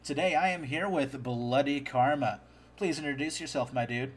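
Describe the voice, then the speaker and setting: A man's voice: medium pitch, moderate pace, husky. A man hosting a casual podcast chat, talkative, laughing often and stumbling over restarts. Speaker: podcast host.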